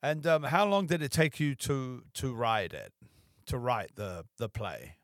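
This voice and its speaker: A man with a mid-range voice hosts a podcast. He asks long, rambling questions in a relaxed, conversational way. The sound is close and dry. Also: medium pitch, measured pace, no room sound. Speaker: podcast host. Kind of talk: casual interview conversation.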